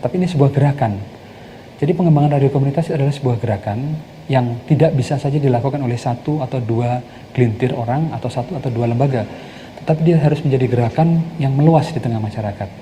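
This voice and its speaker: A man's voice: mid-range voice, fast talker, dark tone, poor audio. A man explaining things in an interview as an advocate. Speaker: community radio advocate being interviewed. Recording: noticeable background noise.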